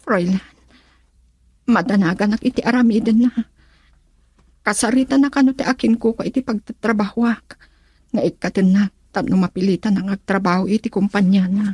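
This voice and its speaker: Woman acting a husky, earnest young Filipino daughter. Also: mid-range voice, normal speed, long pauses, darkish tone, no echo, lightly acted. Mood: worried. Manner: earnest, pleading. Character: defiant young daughter in love, pleading with her father. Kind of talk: Filipino radio drama acting.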